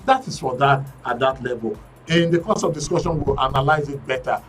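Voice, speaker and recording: A man's voice: medium pitch, brisk, fairly bright tone, recorded from a distance, soft background music. A man with a Nigerian English accent hosting a current affairs show, reading news snippets with frequent fillers and restarts. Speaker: radio and TV current affairs host.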